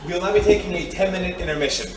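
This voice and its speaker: A man's voice: sits high, rapid-fire, over loud music. A man hosting a live radio theatre show before an audience, speaking in old-time announcer patter. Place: stage before a studio audience. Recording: roomy, distant live stage recording, heavy noise.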